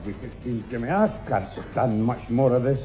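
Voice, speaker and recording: A man's voice: mid-range voice, moderate pace, loud music behind the voice, lightly acted. A man playing a grumpy, exasperated old grandfather with a Northern English accent in a 1960s radio sitcom. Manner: blustering, irritable, exclamatory. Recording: dull 1960s radio recording.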